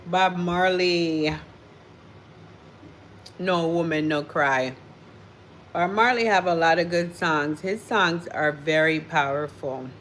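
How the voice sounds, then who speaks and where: A woman's voice: lowish voice, takes it slowly, pauses often, a bit bright, slight background noise. A woman with a Jamaican accent, raised in the United States, chatting casually with viewers on a live stream and answering their comments with easy laughter.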